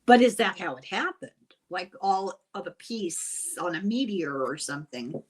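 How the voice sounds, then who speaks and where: A woman's voice: fairly low pitch, expressive, normal speed. An older woman hosting a casual interview, asking inquisitive questions and wandering into asides as she talks. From Ireland. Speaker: host and interviewer.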